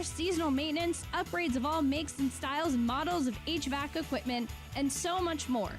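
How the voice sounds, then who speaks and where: A woman reading a scripted radio testimonial ad. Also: high voice. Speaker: general manager giving testimonial ad.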